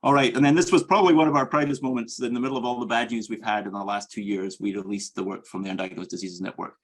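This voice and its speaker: A Scottish man delivers an academic medical presentation in a low voice with a husky edge. He speaks in a measured, earnest manner, pausing and hesitating as he goes, with a creaky fry under his words. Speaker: medical professor presenting a lecture. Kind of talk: academic grand rounds presentation.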